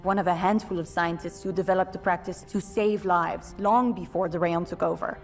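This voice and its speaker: Woman acting game resistance leader lady, calm n in charge, measured, bit of music behind. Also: fairly low pitch, natural voice. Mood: measured, serious. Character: composed resistance leader woman planning missions, calm and commanding. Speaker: mission commander. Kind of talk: video game cutscene acting.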